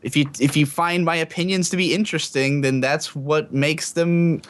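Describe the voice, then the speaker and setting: A man's voice: fairly high pitch, steady tone, moderate pace, slight background noise. Opinionated man in casual podcast chat. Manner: opinionated, self-assured. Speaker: podcast guest, anime reviewer.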